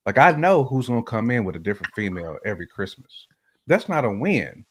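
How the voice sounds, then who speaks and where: A man's voice: fairly low pitch, very animated, brisk, slight background noise. An American man chatting casually on a relationship podcast, using slang and repeating phrases for emphasis.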